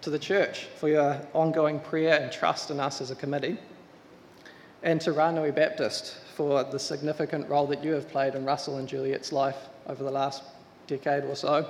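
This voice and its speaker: A man's voice: fairly high pitch, flat delivery, a little mellow. A man giving an earnest address to a church congregation, welcoming a new pastor. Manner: earnest, measured, with restarts. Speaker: search committee member. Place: church hall. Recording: reverberant church hall, faint room noise.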